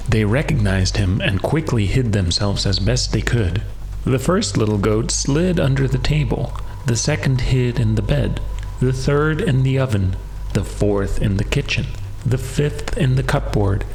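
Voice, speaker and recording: Male storyteller for bedtime fairy-tale audiobook: lowish pitch, scripted narration over faint music. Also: gravelly, a little mellow.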